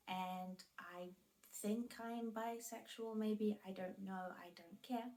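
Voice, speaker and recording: A woman in her twenties giving plain, measured self-introductions in an interview-style video, often trailing off softly at the ends of phrases. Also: mid-range voice, a little hiss or hum, low-quality recording. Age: 20s. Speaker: video creator.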